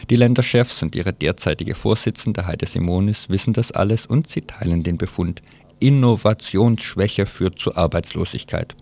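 A man presenting on radio, reading from newspapers and adding his own informal, at times halting commentary. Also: sits low, creaky, warm tone. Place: radio studio.

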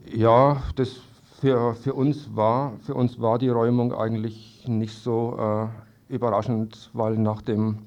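A man answers hesitantly in a spontaneous radio interview, haltingly and often repeating words. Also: medium pitch, little pitch movement, a little mellow. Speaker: interviewed evicted trailer-camp resident. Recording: dull lo-fi archival radio recording.

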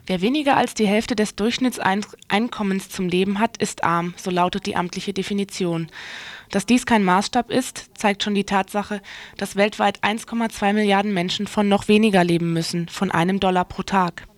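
A woman's voice: medium pitch, steady tone, moderate pace. A woman reading a scripted news report as a radio news reader.

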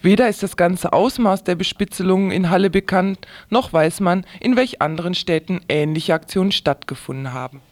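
A man's voice: high-pitched, moderate pace, few pauses. A man reading scripted news as a radio presenter.

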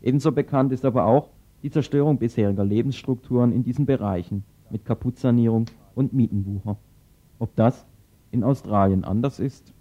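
A man with a dark, mellow mid-pitched voice reads a scripted radio report. The recording is slightly dull and lo-fi. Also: brisk.

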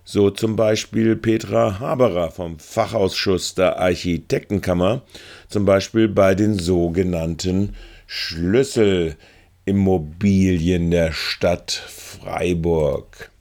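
Guy doing political commentary, deep voice, slow, wordy winding sentences. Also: steady tone, vocal fry, darkish tone. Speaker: reporter.

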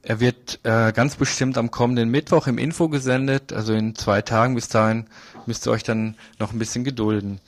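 A man's voice: mid-range voice, fairly even intonation, a little fast, no echo. A man hosting a radio news magazine, presenting in a semi-scripted live style.

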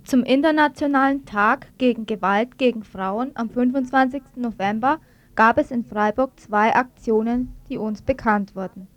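A woman's voice: on the high side, moderate pace, muffled recording. A woman radio presenter reading news reports from a script in a studio.

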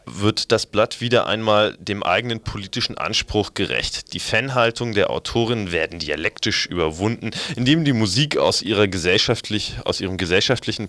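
Low-voiced man reading radio commentary. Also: fairly bright tone, dry recording. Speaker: radio presenter. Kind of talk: scripted magazine commentary, read aloud.

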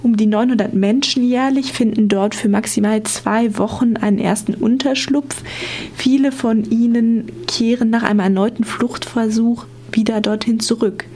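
A woman's voice: on the high side, steady tone, moderate pace, dark tone. A woman reporter reading scripted documentary narration for a radio feature.

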